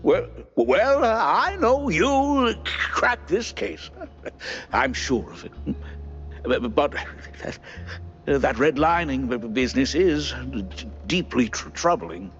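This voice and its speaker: An actor playing a nervous cartoon mouse in a video game, an anxious friend with a hesitant, stuttering delivery. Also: male voice, a little high, very animated, takes it slowly, fairly bright tone, highs cut off, soft background music, heavily acted. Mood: nervous, hopeful, then uneasy.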